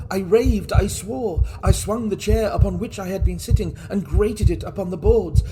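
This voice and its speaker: A man playing a mad, guilt-racked murderer, telling his horror story in a theatrical, intense and deliberate manner. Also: high-pitched, background noise. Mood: frantic, hysterical. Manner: theatrical, deliberate, intense, broken into short phrases. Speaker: reader of a classic horror story. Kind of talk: dramatic first-person storytelling.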